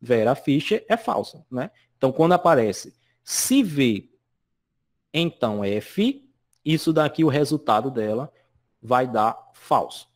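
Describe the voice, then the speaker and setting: A man's voice: mid-range voice, lively intonation, measured pace. A male teacher from Northeast Brazil, explaining a lesson from slides in a chatty way and checking in with his students all the time. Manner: chatty, constantly checks in with "né?" and "certo?".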